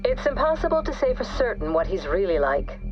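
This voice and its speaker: An actress playing a guarded, self-assured psychologist and author who answers deliberately in a video game TV interview. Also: female voice, mid-range voice, very animated, lo-fi sound, over music, close to an everyday voice.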